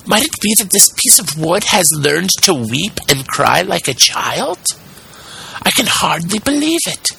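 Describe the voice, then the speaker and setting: A man voicing an excitable old carpenter in a storybook, muttering to himself in an exaggerated, easily frightened way. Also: high voice, unhurried, creaky, a little hiss or hum, voice pushed up, exaggerated voice, poor audio. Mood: frightened, puzzled. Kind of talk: storybook character voice.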